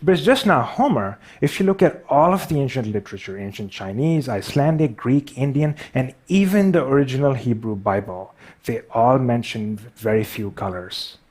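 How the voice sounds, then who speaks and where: A man with a Pakistani accent speaks to an audience at a mid-range pitch. His storytelling is personal and self-deprecating, with occasional halting phrases. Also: lively intonation, darkish tone, slightly rough. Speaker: public speaker.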